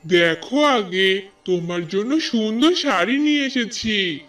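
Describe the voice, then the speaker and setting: Man acting a high-voiced village man. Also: expressive, a little slow, fairly bright tone. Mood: affectionate, eager. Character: village man, husband and neighbour, emphatic. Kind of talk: Bengali cartoon voice acting. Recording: distant mic, faint music.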